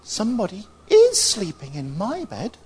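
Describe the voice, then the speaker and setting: A tiny storybook baby bear, squeaks out his complaints in a very high, small voice. He sounds plaintive and upset, with a husky edge to the squeal. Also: huge pitch swings, unhurried, a little mellow, exaggerated voice. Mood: alarmed.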